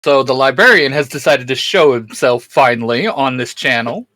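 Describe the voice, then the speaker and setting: A man's voice: medium pitch, moderate pace, sharp tone, dry recording. A young man hosting a YouTube channel in casual, slangy chat.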